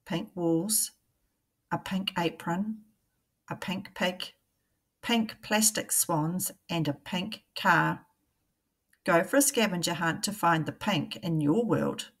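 A woman, a primary teacher reading a picture book aloud to young children in a deliberate, questioning way. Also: lowish voice, breathy edge.

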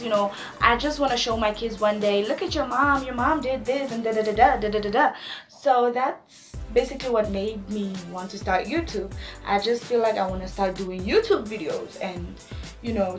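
A woman in her twenties with a Namibian accent, chatting casually to viewers in a vlog with animated slangy asides. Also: a little high. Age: 20s. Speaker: YouTuber.

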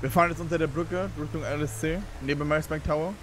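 Man, rapid speech, slightly high voice, relays locations, casual roleplay chat. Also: steady tone, noisy background. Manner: reports positions and street directions.

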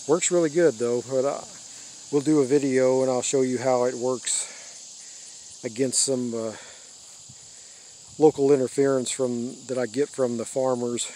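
Flat-toned older American man outdoors. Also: mid-range voice, measured pace, long pauses. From United States. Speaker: radio hobbyist giving a tour. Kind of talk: casual unscripted show-and-tell. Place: open rural backyard, outdoors. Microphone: handheld phone or camera mic. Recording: outdoor handheld recording with background noise.

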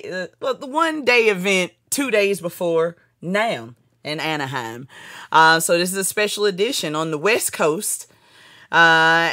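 A woman's voice: fairly low pitch, a bit bright, no room sound, clean studio sound. A woman, hosting a casual interview. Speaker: podcast host, interviewer.

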